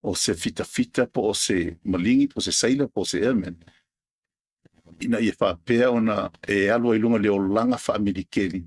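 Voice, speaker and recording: A man from Samoa with a Samoan accent who hosts a podcast as a Marine veteran. He talks in a loose, halting monologue full of restarts and repeated words. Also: fairly low pitch, no echo, clean studio sound.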